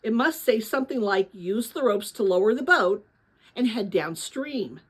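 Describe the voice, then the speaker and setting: Earnest young boy explorer, thoughtful, hushed, full of wonder, storybook read-aloud performed by a woman. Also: on the high side, lively intonation, normal speed, slightly rough. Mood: awed.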